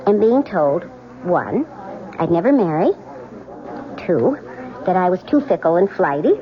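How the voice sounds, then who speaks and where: An actress playing a chatty, flighty, well-meaning friend in an old-time radio drama, gushing and dithering. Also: female voice, fairly low pitch, big pitch swings, a little slow, a little hiss or hum. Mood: breezy, amused. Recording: dull old radio recording.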